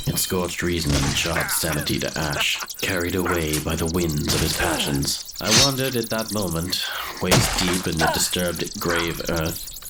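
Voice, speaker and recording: A man's voice: low-pitched, heavy background noise. An actor playing a doomed grave robber narrating his confession in a gothic horror audio drama, with a grave, florid, brooding manner. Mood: haunted intensity.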